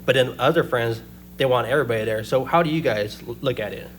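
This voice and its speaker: A man's voice: medium pitch, quick pace, a little hiss or hum. Enthusiastic male podcast host. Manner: enthusiastic, admiring questions. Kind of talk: casual interview.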